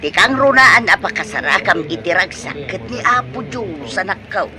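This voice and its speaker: A man's voice: sits high, expressive, moderate pace, over music. A man playing a fussing, tender, pious parent in an Ilocano radio comedy drama, with an Ilocano accent and consoling, sighing exclamations. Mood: gently advising.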